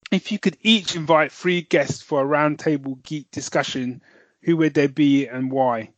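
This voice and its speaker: A man's voice: fairly high pitch, moderate pace, dry recording. A man co-hosting a podcast in casual chat, rambling and correcting himself, laughing easily. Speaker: podcast co-host and novelist.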